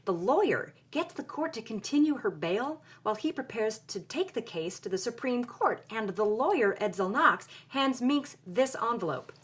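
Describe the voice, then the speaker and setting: An actress playing a dramatic, emphatic granddaughter investigating a family mystery in a scripted audio drama. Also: female voice, a little high, lively intonation, normal speed, few pauses, recorded from a distance, lightly acted. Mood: ominous. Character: intense granddaughter investigating her film-star grandmother's mysterious death.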